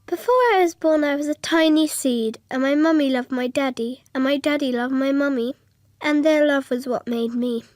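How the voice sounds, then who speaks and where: Female performer as high-voiced, innocent little girl. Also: fairly even intonation, moderate pace, darkish tone, no room sound, studio quality, lightly acted. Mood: earnest. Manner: simple, artless, matter-of-fact childlike telling. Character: innocent, watchful little girl, hopeful and literal-minded. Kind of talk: first-person radio drama narration.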